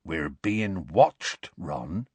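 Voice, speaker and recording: A big, gruff half-giant groundskeeper, as a male reader puts him on, low and husky, rumbling along in a rustic dialect with lively swings in tone. The recording is dry and close. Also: normal speed, no room sound, heavily acted. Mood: grave.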